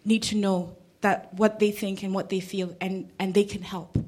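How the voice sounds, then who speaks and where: Halting, earnest woman from Kenya. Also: medium pitch, flat delivery, darkish tone. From Kenya, Nairobi. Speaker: audience member, conflict studies student. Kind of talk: audience comment at a public panel.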